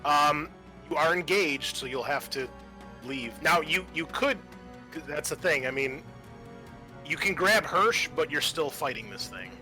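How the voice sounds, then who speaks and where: A man narrating a tabletop horror game as game master, describing things graphically in a halting, casual way. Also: on the high side, expressive, pushed and bright, faint music behind, rough recording.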